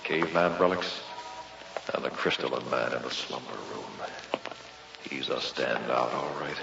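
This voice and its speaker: Very deep-voiced, gravelly investigator. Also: male voice, a little slow, over music, exaggerated voice. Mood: weary, musing. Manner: clipped musing monologue, dramatic. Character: weary, watchful male investigator guarding a museum at night. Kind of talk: old-time radio drama acting. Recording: muffled, echoey vintage radio recording.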